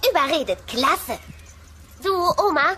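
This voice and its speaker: Teen dino girl, very high bright voice, sing-song, flippant, comedy audio play. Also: lively intonation, unhurried, a little hiss or hum, full character voice. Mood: reluctant. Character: breezy, bright teenage dinosaur girl, self-absorbed.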